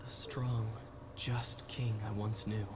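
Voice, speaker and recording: An actor playing a brooding, guilt-ridden young knight in a video game, solemn and halting. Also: male voice, fairly low pitch, little pitch movement. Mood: anguished reproach. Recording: noisy game ambience behind.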